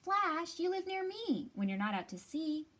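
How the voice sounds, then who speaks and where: A woman's voice: very high-pitched, sing-song, normal speed, fairly bright tone, voice pushed up, heavily acted. A woman reading as an eager, friendly, game-loving squirrel in a picture book, with emphatic phrasing.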